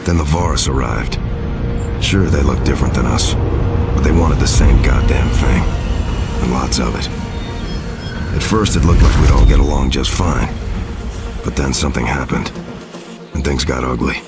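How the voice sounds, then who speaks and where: A man's voice: moderate pace, creaky, pauses often. An actor playing a terse, sardonic male mercenary soldier in a sci-fi shooter game, with hard-boiled delivery. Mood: grim, hard-boiled. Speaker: protagonist and narrator. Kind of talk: scripted game narration and dialogue. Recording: loud game music and engine rumble behind. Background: music and vehicle rumble.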